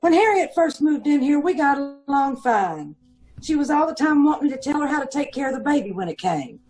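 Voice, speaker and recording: A high-pitched, brusque apartment manager played by a woman in an old-time radio drama. She speaks in blunt, colloquial working-class phrases and is quick to get defensive. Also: sing-song, a little mellow, poor audio. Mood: confiding.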